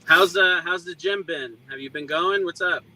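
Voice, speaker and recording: A man from the United States, easygoing and relaxed, chats on a live stream. His voice is slightly high, with a very bright, forceful, sharp edge. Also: normal speed, no room sound, low-quality recording. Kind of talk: casual chat.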